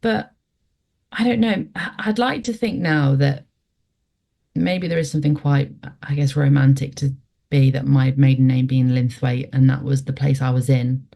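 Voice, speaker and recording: Woman in her twenties, guest, low mellow voice, reflective and halting. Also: fairly even intonation, creaky. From West Yorkshire, England. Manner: reflective, hesitant, lots of fillers. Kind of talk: conversational storytelling interview.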